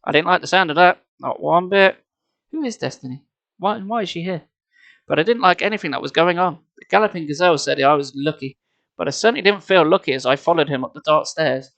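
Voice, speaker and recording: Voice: mid-range voice, lively intonation, quick pace, vocal fry, fairly bright tone. A storyteller reading a children's book expressively, slipping in casual, wry and mocking asides. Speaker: storyteller, live streamer.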